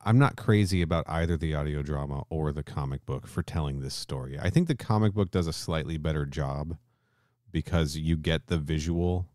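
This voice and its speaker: A man with an extremely low, deep voice talks casually as a podcast host. He thinks out loud, hedging and rewording as he goes. The sound is dry and close, with no room echo. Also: normal speed. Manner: hedging, thinking aloud, circles back to rephrase. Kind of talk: casual discussion between co-hosts.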